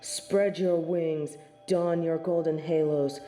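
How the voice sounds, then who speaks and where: A woman's voice: low voice, fairly even intonation, slow pace, loud music behind the voice. A woman playing a snobbish, merciless angel of judgement in an audio drama, speaking formally with imperious disdain. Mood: cold disdain. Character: haughty, merciless female angel of judgement, snobbish and self-righteous. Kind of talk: scripted audio drama acting. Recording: roomy, echoing sound.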